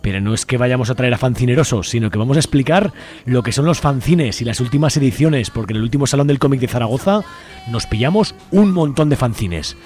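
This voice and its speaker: A man with a Spanish accent presents a radio show informally. He chats at a rapid clip in colloquial language, with a voice of ordinary pitch for a man. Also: expressive, a little mellow, soft background music. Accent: Spain. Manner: chatty, colloquial.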